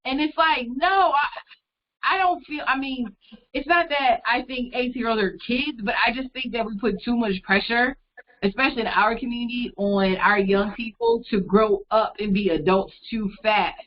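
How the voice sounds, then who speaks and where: A woman's voice: a little high, normal speed. Affirming woman host on a muffled call. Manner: affirming, reflective, talks in long thoughtful runs. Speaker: radio interviewer. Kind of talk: interview conversation. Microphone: video call.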